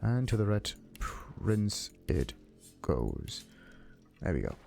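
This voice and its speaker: A man's voice: on the low side, big pitch swings, slow, creaky, a little mellow. A man giving casual, rambling video game commentary as a let's play host, thinking aloud to himself.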